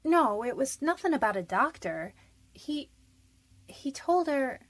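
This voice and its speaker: A woman's voice: sits high, very animated, measured pace. An actress playing a frightened but defiant young convicted woman in a radio detective drama, defensive, pleading and slangy. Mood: straining to remember.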